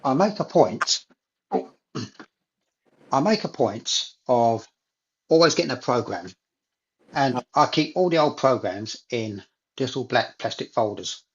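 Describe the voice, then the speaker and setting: A man's voice: medium pitch, animated, slow pace, pauses often, dull audio. A man in a casual chat, talking enthusiastically and repeating phrases for emphasis.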